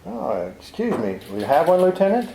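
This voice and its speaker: A man's voice: on the high side, wildly swooping pitch, a little fast, gravelly, mellow, slight background noise, heavily acted. An actor playing a cynical, wisecracking male private detective in a 1940s radio drama, with a dry, sardonic manner. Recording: roomy 1940s radio broadcast.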